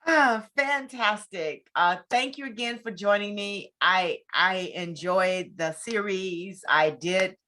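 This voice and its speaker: A woman's voice: medium pitch, takes it slowly, few pauses, sharp tone. A woman hosting a conversational interview show, speaking in an effusive, encouraging way.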